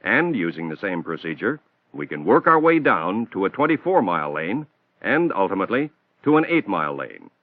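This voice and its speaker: Man, scripted training-film narrator, lively pitch, tinny narrow old soundtrack. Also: no echo. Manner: measured, instructional.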